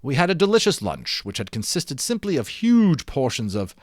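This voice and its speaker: Man, children's book reader, lively varied pitch, theatrical emphasis, dramatic storytelling, dry recording. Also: normal speed, no room sound. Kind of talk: dramatic storybook read-aloud.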